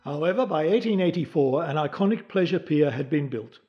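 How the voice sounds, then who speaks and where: A man's voice: fairly high pitch, animated, brisk, highs cut off, soft background music. A man reading scripted historical narration for a documentary.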